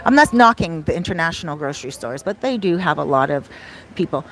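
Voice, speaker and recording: A woman's voice: deep voice, lively intonation, a little fast, a little hiss or hum. A woman hosting a casual livestream Q&A, chatting conversationally and wandering off into asides. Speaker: livestream host answering viewer questions.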